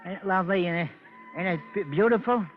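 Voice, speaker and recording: An actor playing a nervous, wheedling small-time hustler in an old radio crime drama, stammering and putting on genteel airs. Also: male voice, high voice, fairly quick, lightly acted. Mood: eager, chatty. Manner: stammering, hesitant, mock-genteel. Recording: muffled old radio recording, music behind.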